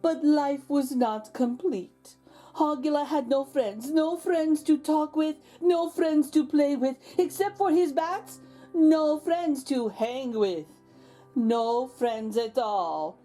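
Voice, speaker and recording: A woman's voice: sits high, takes it slowly, mellow, faint music behind. A woman narrating a children's picture book aloud as host, with theatrical, suspenseful emphasis. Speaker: storybook reader, host.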